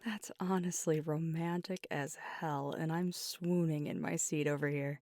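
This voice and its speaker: A woman's voice: low-pitched, fairly even intonation, unhurried, a little mellow, no room sound, clean studio sound. A woman voicing a devoted, earnest werewolf girlfriend in an intimate ASMR roleplay, speaking sincerely and a little hesitantly. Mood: affectionate, teasing. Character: devoted, earnest young werewolf girlfriend weighing big news.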